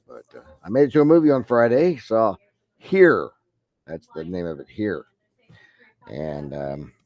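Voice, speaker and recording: A man's voice: deep voice, a little mellow. Congested middle-aged male math teacher. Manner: rambling, many fillers and restarts. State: head cold, deep congested voice. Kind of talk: online class lecture.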